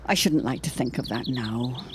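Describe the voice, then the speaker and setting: A low, very expressive genteel older mother in a period audio drama. She speaks formally, with wistful dignity and a needling edge. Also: normal speed, background noise. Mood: wistful, subdued.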